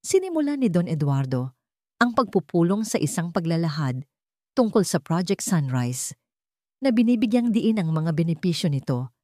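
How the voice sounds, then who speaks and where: A woman with a Filipino accent reads a dramatic story as a scripted audiobook. Her voice sits low for a woman. The delivery is measured and formal, and the sound is close and dry, free of room reflections. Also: very animated, darkish tone, dry recording.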